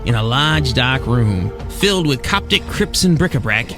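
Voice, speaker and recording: A man's voice: mid-range voice, animated, normal speed, natural voice. A man playing a cynical American penguin private eye, narrating in slangy, world-weary 1940s noir style. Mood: wry. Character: hard-boiled penguin detective, cynical and guilt-ridden. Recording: music behind the voice.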